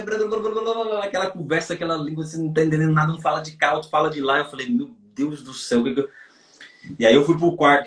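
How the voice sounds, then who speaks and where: A man's voice: a little high, recorded from a distance. A Brazilian footballer chats loosely in an interview, circling back and restarting his sentences. His voice sounds roomy and echoing. He sits well back from the mic, as on a video call. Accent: Brazilian Portuguese. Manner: rambling, repeats himself, many restarts. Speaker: footballer guest. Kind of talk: casual interview over a live stream.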